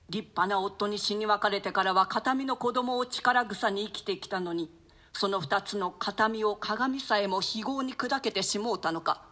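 A woman's voice: mid-range voice, pushed and bright. A woman acting a stern, grieving old duchess and grandmother in a classic stage play, speaking in a theatrical, archaic manner. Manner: lamenting, theatrical, admonishing.

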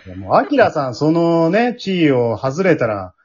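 A man's voice: on the high side, huge pitch swings, normal speed, highs cut off, a crowd in the background. A man with a Kansai accent hosting a casual chat in a chatty, joking way with frequent polite interjections. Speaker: host of an amateur drama troupe's after-talk.

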